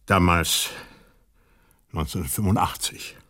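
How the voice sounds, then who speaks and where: An actor playing a sober investigator who narrates a radio play in a deliberate, measured, husky way. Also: male voice, low-pitched, steady tone, bright, forceful tone, exaggerated voice. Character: sober male investigator recounting a conspiracy he uncovered.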